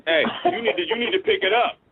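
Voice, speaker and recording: High-voiced man on a tinny phone line. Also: sing-song, fast talker, creaky, breathy edge. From Southern California. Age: 30s. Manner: argumentative, lecturing. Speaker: caller. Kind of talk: heated call-in radio debate.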